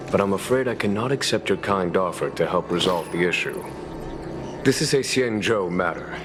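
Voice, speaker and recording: Genial low-voiced general, lively intonation. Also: male voice. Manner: smooth diplomatic courtesy, relaxed authority. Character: genial, unhurried, shrewd male general of knights. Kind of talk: scripted video game dialogue. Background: background music.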